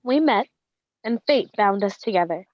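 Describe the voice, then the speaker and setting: A woman's voice: mid-range voice, lively intonation, moderate pace, lo-fi sound, lightly acted. A woman playing an adoring, wistful wife in a radio drama, speaking reverently and poetically of her beloved.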